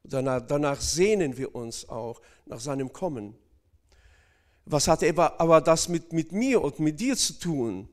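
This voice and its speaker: Slow, hesitant male preacher. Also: fairly high pitch, expressive, a little mellow. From Northern Kazakhstan. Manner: hesitant, many fillers, addresses congregation directly. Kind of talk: sermon.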